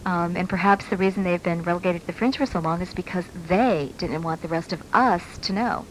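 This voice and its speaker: A woman's voice: on the low side, a little fast, a little hiss or hum, low-quality recording. A woman hosting a conversational radio talk show, inquisitive and reflective, taped from a shortwave broadcast.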